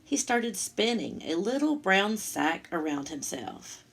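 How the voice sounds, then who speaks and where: A woman reading a picture book aloud to young children, with expressive, simple asides as she goes. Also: mid-range voice, slow pace. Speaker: storytime reader for young children.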